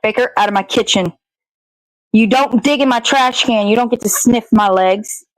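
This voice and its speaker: High-voiced woman, casual dry-sounding livestream host. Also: normal speed, no room sound, low-quality recording. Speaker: puppet show host.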